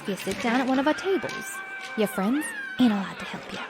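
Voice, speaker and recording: Lively, brassy saloon hostess. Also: female voice, a little high, fairly quick. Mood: knowing, firm. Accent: American. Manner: drawling, sugary, knowing. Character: brassy, flirtatious Western saloon hostess, shrewd and bossy. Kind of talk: audio drama acting. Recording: music and crowd behind voice.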